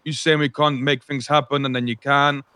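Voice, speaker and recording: A man's voice: mid-range voice, level tone, normal speed, pushed and bright, smooth, no echo, close to an everyday voice. A man playing a cocky crime boss in an improvised roleplay argument, often repeating his words and phrases. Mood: needling, sarcastic. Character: cocky male crime boss bargaining from prison.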